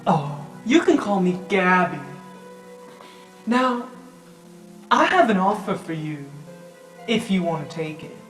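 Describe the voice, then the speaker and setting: A woman's voice: on the low side, sing-song, slow, pauses often, soft background music. Woman playing a sugary tempter, echoing voice. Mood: sweetly tempting. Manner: coaxing, familiar. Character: sugary, tempting female voice from the darkness offering bargains. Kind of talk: improvised tabletop roleplay.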